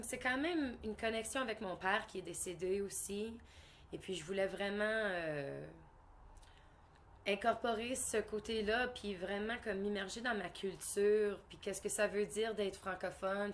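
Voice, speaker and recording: A woman's voice: medium pitch, measured pace, slight background noise. A woman with a Canadian French accent, a singer-songwriter answering interview questions casually, hesitating often and slipping English words into her French. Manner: hesitant, many fillers and restarts.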